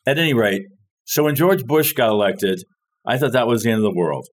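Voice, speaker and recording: A man's voice: mid-range voice, animated, a little fast. Rambling man telling a story. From born in France, grew up overseas. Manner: rambling, searches for words, trailing restarts. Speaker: guest telling stories. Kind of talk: casual conversational storytelling.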